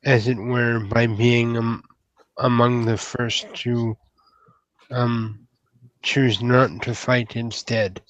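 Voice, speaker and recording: A man playing an earnest, polite young troll newcomer in an improvised roleplay, speaking haltingly in rather formal words. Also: medium pitch, no room sound, rough recording. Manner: hesitant, halting, formal wording. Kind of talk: improvised in-character roleplay dialogue.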